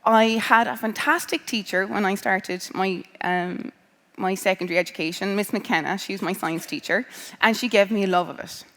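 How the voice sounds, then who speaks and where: A woman's voice: mid-range voice, moderate pace, fairly bright tone. An Irish woman in her thirties tells students about her path into science. She talks casually, with frequent ums and restarts. Her voice carries a long reverb from a large hall. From Ireland. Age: 30s. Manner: conversational, with many um fillers and restarts. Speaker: scientist giving a talk to students. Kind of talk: personal career talk.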